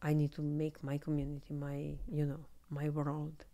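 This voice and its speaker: Serbian-accented woman with a gravelly low voice. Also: normal speed. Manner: candid, animated, laughs often. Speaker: podcast guest, artist. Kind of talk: casual interview chat. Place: small podcast studio. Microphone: studio mic on boom arm, headphones.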